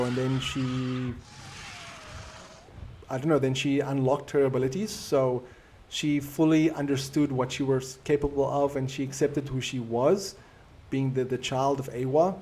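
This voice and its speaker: A man with a South African accent hosts a casual live stream, talking through viewer comments at an easy pace. His voice sits at an ordinary middle pitch, and his native Afrikaans shows through. He sounds thoughtful and conversational. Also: dark tone. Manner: reflective, easygoing and conversational.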